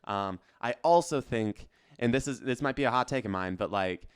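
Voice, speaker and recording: A man with a clean, smooth voice, recorded close and dry, chats as a podcast co-host. He is opinionated and emphatic, speaking in loose slang full of fillers. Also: medium pitch, moderate pace, dry recording. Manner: emphatic, slangy, peppers speech with "like". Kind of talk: casual, opinionated pop culture chat.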